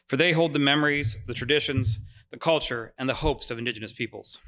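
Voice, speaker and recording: A man's voice: medium pitch, quick pace, a bit bright. A man who directs a museum society, opening a public lecture with housekeeping notes in a friendly, informal way with filler words, in a lecture room. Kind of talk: public event introduction. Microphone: mic over room speakers.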